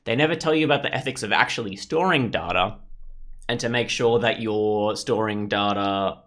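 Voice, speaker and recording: A man's voice: lowish voice, moderate pace, fairly bright tone. A young man hosting a let's play, talking in an informal, rambling and sweary way. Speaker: gaming video host. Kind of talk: casual let's play commentary.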